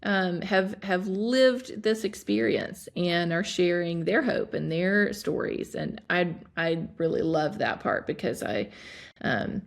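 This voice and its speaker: A woman hosting a podcast conversation, speaking thoughtfully with frequent pauses and restarts. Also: fairly low pitch, animated, gravelly. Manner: thoughtful, many fillers and restarts. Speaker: podcast host. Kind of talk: reflective conversation.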